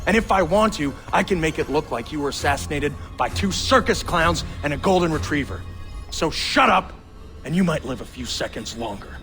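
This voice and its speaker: An actor playing a smug, ruthless rogue agency boss who taunts with drawn-out emphasis in a dramatic video game. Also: male voice, a little high, animated, sharp tone, faint music behind. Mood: smug, menacing.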